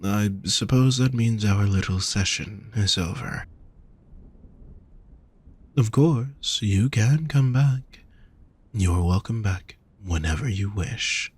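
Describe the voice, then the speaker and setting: A man voicing a gentle, teasing, affectionate demon in an intimate audio roleplay, speaking softly and tenderly to the listener. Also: on the low side, breathy edge. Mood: warm, fond. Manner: soft, unhurried, tender teasing.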